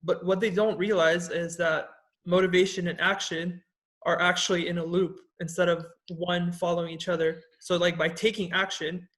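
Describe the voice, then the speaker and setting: A man's voice: high voice, flat delivery, normal speed, a bit bright. A man hosting a podcast, chatting in an easygoing way and explaining ideas plainly. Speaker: podcast host. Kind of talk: casual chat.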